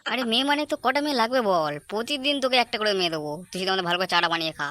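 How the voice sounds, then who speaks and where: A man's voice: high voice, very animated, racing, pushed and bright, a little hiss or hum, heavily acted. A man voicing a cocky, hot-headed young slacker in an amateur comedy cartoon, brash, crude and slangy. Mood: boastful.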